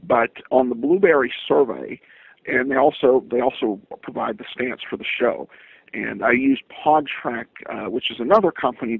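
A man answering interview questions as a podcast guest, speaking in a halting, hesitant, stop-start way over a lo-fi line. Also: mid-range voice, creaky, slightly rough, dry recording. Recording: tinny lo-fi phone-like line.

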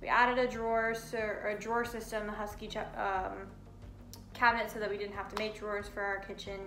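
A woman's voice: medium pitch, flat delivery, brisk, a bit bright, faint music behind. A young woman co-hosting a van build vlog, talking casually and chatty, often exasperated. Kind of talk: casual chat. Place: small living room, indoors.

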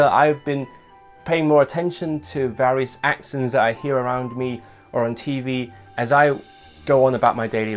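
A young man talks straight to his webcam from a small room at home, his hands moving as he explains things. English is not his first language, and he speaks with an average pitch. Soft music plays faintly behind him. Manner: animated, gestures with hands while talking. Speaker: language vlogger host. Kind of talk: casual scripted vlog talk to camera.

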